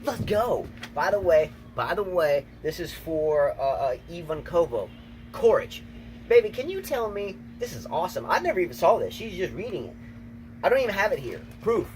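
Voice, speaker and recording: Man, tennis co-host, emphatic, repeats himself, slightly high voice, windy outdoor recording. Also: big pitch swings, normal speed, a little mellow. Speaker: tennis channel co-host, coach. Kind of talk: casual outdoor chat. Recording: noisy outdoor recording, wind.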